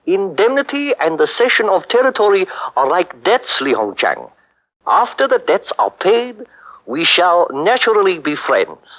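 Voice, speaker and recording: An actor playing a cold, hard-bargaining male statesman in a 1940s radio drama, speaking in a measured, unyielding way over an old broadcast recording. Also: high-pitched, huge pitch swings, dull audio, exaggerated voice. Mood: cold, firm. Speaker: Marquis Ito.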